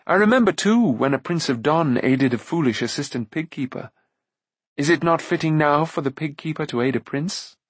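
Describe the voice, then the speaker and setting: A man's voice: fairly high pitch, expressive, normal speed, slightly rough, no room sound. A man voicing an earnest, loyal young pig-keeper hero in a fantasy storybook, heartfelt and full of self-doubt.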